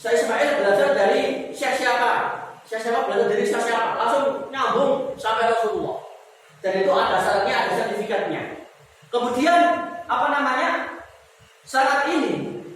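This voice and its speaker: A fairly high-voiced man teaches a religious class and quizzes his listeners as he goes. He sounds far from the mic, and his voice rings through a big echoing mosque hall with a long reverb. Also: normal speed, fairly bright tone, a little hiss or hum. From Indonesia. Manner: explains step by step, repeats key words, asks the class questions. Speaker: Quran recitation teacher. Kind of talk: mosque lesson on tajwid.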